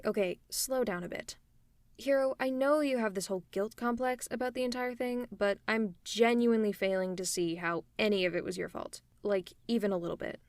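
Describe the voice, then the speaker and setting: A woman playing a tough but caring female supervillain in an ASMR roleplay, speaking in a conversational, reassuring and gently teasing way. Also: a little high, no echo, lightly acted. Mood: earnest, supportive. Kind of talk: ASMR roleplay audio drama.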